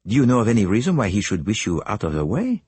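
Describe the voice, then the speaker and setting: A man's voice: on the low side, huge pitch swings, quick pace, darkish tone, breathy edge, no echo, heavily acted. A man voicing a courteous, precise middle-aged foreign detective, asking formal, businesslike questions in an audiobook.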